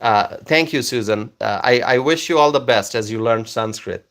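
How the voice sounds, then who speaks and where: Bright-voiced Bengali-native man hosting a livestream. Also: medium pitch, normal speed. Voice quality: hesitant, many fillers and repeated words. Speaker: livestream host reading viewer comments. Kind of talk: casual livestream chat.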